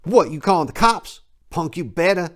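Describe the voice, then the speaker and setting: A man voicing a violent, menacing pimp who is quick to cower, in a dramatic audiobook reading. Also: fairly high pitch, expressive, pitched above the performer's own voice, natural voice. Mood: threatening. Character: violent male pimp, menacing then cowed and pleading.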